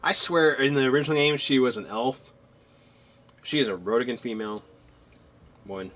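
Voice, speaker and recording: A man's voice: medium pitch, expressive, normal speed, fairly bright tone. A man giving casual, chatty gameplay commentary, drifting into rambling asides. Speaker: video game player commentator.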